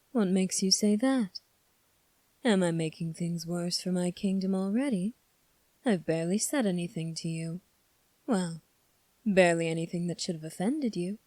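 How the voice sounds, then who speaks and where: A woman playing a calm, sly young prince in an ASMR roleplay, speaking in a measured, formal, teasing way. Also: on the low side, no room sound. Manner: measured, formal, coolly probing. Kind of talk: ASMR roleplay audio drama.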